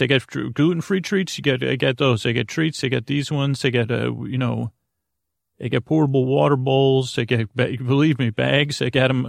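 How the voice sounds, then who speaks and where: A man hosting a bedtime podcast in a creaky voice, rambling soothingly and haltingly, often stammering and interrupting himself. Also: mid-range voice, dry recording, clean studio sound. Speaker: host of a bedtime sleep podcast.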